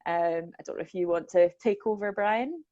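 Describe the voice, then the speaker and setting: A woman's voice: lowish voice, normal speed, warm tone. A young Scottish woman from the Borders, a welfare manager chatting casually and self-deprecatingly with lots of ums. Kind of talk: casual chat. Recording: dull lo-fi sound.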